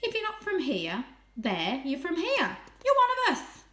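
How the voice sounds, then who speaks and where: A woman voicing a friendly, wide-eyed female cat in a storybook, with a warm, teasing, excitable manner. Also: high voice, measured pace, reverberant, pitched above the performer's own voice, heavily acted. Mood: curious, teasing.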